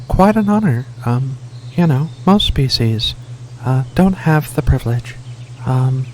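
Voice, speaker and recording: Guy doing a gentle giant alien cat for asmr, soft n halting, kinda high, slow, some bg noise. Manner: hesitant, halting, apologetic. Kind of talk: soft-spoken ASMR roleplay.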